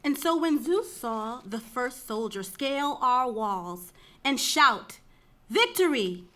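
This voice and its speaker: A woman's voice: fairly high pitch, very animated, slow pace, bright, forceful tone, exaggerated voice. A woman playing an urgent chorus member, vividly declaiming battle verse in a Greek tragedy. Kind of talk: dramatic reading of Greek tragedy.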